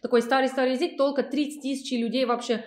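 A young woman with a fairly high voice asks her questions slowly, searching for words in a language that is not her first. She speaks over a video call, and the room around her sounds echoey. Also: steady tone. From Switzerland. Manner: hesitant, searching for words, many fillers. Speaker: interviewer.

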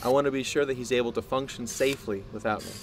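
An actor playing a proud, obsessive male scientist in an audio drama, speaking in a deliberate, grandiose way. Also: mid-range voice, steady tone, natural voice. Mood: measured, earnest. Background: music.

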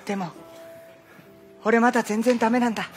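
A man's voice: very high-pitched, steady tone, fairly quick. An actor playing an eager, impetuous teenage boy volleyball player in a stage play, earnest and exclamatory. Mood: determined. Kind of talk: stage play acting, projected delivery. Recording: stage play audio under music.

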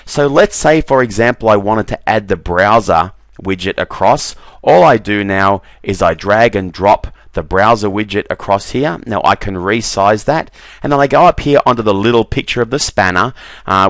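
A man talking through a software demo over a screen recording, relaxed, with frequent "uh" fillers and doubled words. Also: fairly low pitch, no echo. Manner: relaxed, repeats "very, very" and fills with "uh". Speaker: software demonstrator.

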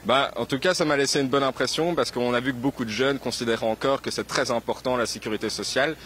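Young male street interviewer, mid pitch, chatty and explanatory, light outdoor hiss. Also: quick pace, fairly bright tone. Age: young adult. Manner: explanatory, many fillers. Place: outdoors on a city square. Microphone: handheld mic. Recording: faint street noise outdoors.

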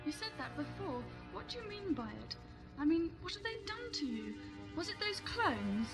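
An inquisitive, plucky traveller from a sci-fi audio drama, played by a woman. Her voice is high and briskly questioning, with a skeptical edge and music in the background. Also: very animated. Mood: concerned, curious. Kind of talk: science fiction audio drama acting.

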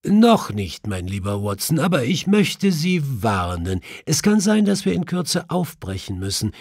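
A man voicing a brisk, commanding detective with a formal, emphatic manner in an audiobook. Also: on the high side, very animated, a little mellow, slightly rough, no echo, clean studio sound, voice pushed up, full character voice. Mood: secretive, warning. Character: brisk, commanding male detective, urgent and superior.

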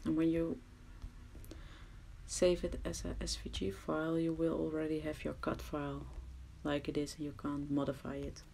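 Dutch-accented woman, low gravelly voice. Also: monotone, normal speed, dark tone, slight background noise. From Netherlands. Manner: hesitant, self-deprecating, drops to murmurs. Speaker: crafting tutorial maker. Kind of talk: casual screen-recorded tutorial. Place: at someone else's home.

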